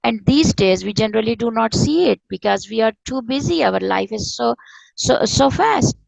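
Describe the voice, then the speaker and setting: A woman's voice: fairly high pitch, animated, moderate pace, creaky, no echo, low-quality recording. A woman, an Urdu speaker, explaining things earnestly and repeating phrases for emphasis as an interview guest. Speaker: interview guest, author and spiritual teacher. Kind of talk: conversational explanation of spirituality.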